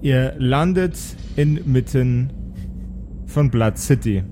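A man's voice: medium pitch, slow pace, background noise. A man narrating an improvised tabletop game as game master.

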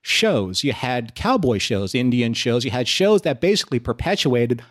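A man's voice: mid-range voice, big pitch swings, normal speed, a bit bright. An American man explaining his points earnestly in a conversational discussion, often repeating phrases for emphasis. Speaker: podcast panelist.

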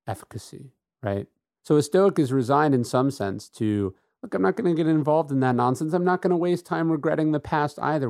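A man's voice: mid-range voice, darkish tone, no echo, studio quality. A middle-aged man hosting a podcast, talking through ideas in an earnest, emphatic, conversational monologue and often checking in with "right?". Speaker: podcast host. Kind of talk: conversational reflective monologue on philosophy.

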